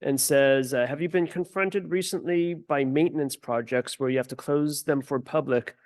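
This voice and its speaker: A man's voice: a little high, moderate pace, darkish tone. A man moderating a talk, reading out online audience questions hesitantly and repeating words. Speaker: event moderator.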